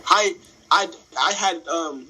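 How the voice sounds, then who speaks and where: A man's voice: fairly high pitch, takes it slowly, bright, forceful tone, husky, low-quality recording. A fifteen-year-old boy co-hosting a casual sports podcast, talking hesitantly with lots of ums and restarts. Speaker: podcast co-host.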